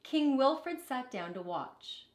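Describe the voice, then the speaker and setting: Slow, very expressive woman reading aloud. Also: high voice. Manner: expressive, deliberate phrasing for children. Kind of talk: children's picture book read-aloud. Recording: echoey, roomy sound.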